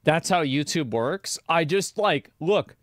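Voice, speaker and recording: A man reacts live on stream, sounding incredulous and questioning everything he sees. He talks slowly, with a voice pitched a little high for a man. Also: expressive, no echo, clean studio sound.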